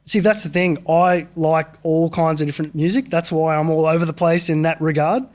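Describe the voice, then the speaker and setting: An Australian man talks easily about his music in a relaxed interview. His voice sits a little high, and his answers are loose and friendly, with frequent yeahs and easy laughs. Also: fairly quick. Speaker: guest musician. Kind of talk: casual interview.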